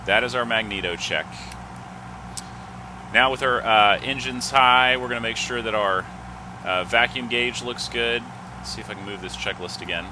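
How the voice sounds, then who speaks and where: A man's voice: mid-range voice, background noise. A man giving methodical, instructional step-by-step flight simulator commentary, reading off checklist items. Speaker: host of a flight simulator video series.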